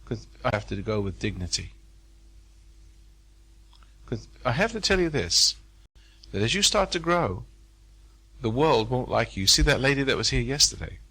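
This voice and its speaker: A man's voice: mid-range voice, big pitch swings, moderate pace, pauses often, bright, forceful tone. An older man speaking to a live seminar audience in a hotel room, folksy and preacherly, repeating phrases for emphasis.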